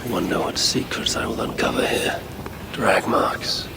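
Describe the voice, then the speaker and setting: An actor playing a grim, commanding Norse god warrior in a video game, with a gravelly, breathy voice and a measured, brooding manner. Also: male voice, sits low, in a whisper. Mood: grim, pondering. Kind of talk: dramatic game voice acting, brooding narration. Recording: heavy ambient game noise behind.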